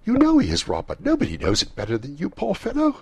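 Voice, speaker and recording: A man voicing an indignant, sharp-tongued wife and mother in a storybook, emphatic and bitterly sarcastic. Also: a bass voice, brisk, no echo.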